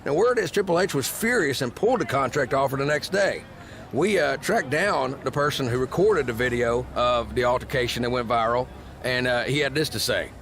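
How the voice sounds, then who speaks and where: A veteran wrestling commentator reads the news in a measured way. His mid-pitched voice has plenty of gravel and fry. It is scripted presenting for a video game show. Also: animated, fairly bright tone, slight background noise. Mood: matter-of-fact. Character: male wrestling news show host, matter-of-fact legendary commentator.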